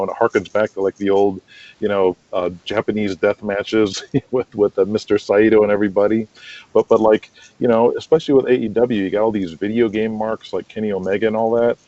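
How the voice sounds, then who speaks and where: A man speaking as a guest in a casual conversational interview, chatty and quick to laugh, on muffled lo-fi audio. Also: lowish voice, mellow, dry recording. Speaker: podcast guest, wrestling promoter.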